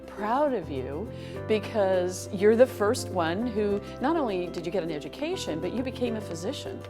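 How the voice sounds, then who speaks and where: A woman hosting an interview show, asking prepared questions in a warm, measured way. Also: medium pitch, animated, over music. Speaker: interview host.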